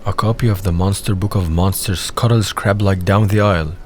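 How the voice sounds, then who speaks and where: Low deep guy narrating an audio play, reading script, some light ambient noise. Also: steady tone, brisk.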